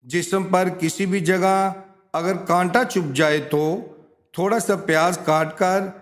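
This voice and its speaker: A man with a notably high voice narrates scripted advice. He takes his time over each phrase, speaking slowly in a measured, instructional manner. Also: steady tone. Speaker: narrator of a home remedies and quotes channel.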